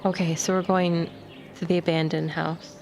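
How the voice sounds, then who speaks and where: A woman playing in a tabletop game, talking quickly and earnestly about her family. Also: on the low side, little pitch movement, background noise. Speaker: player. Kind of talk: tabletop roleplay chat.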